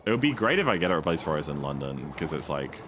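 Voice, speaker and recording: Young male streamer, very deep and slightly hoarse, laid-back and rambling. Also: sing-song, very fast. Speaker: speedrun streamer. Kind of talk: casual live-stream chat. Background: game music.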